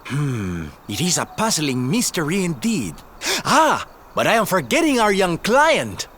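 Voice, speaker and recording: An actor playing a flamboyant, self-important gentleman detective in a video game, grandiose and theatrical in delivery. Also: on the high side, huge pitch swings, unhurried, slight background noise, exaggerated voice. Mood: grave, dramatic. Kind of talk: scripted video game cutscene acting.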